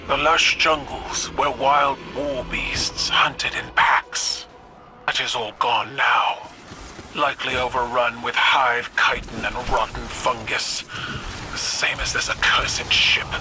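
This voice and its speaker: Male actor as embittered alien warrior, medium pitch, heavy mournful delivery, game cutscene, music underneath. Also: lightly acted, poor audio. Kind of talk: video game cutscene acting. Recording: music behind the voice.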